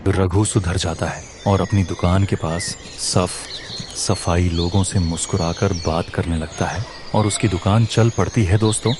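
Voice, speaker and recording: A man narrating a children's cartoon moral story in a steady storybook manner. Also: low-pitched.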